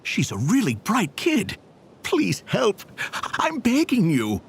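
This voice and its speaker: Game role: meek, earnest male villager, high voice, very animated and beseeching. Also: takes it slowly, creaky, slight background noise, heavily acted. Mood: pleading, earnest. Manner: deferential, imploring. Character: humble, earnest, pleading desert village man. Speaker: voice actor in a video game. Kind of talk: scripted game dialogue.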